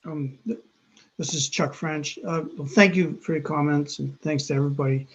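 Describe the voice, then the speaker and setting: A man who is an agency official answering in a hesitant, halting way with many fillers. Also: fairly high pitch, fairly even intonation, dull audio, a little hiss or hum. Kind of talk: hearing response.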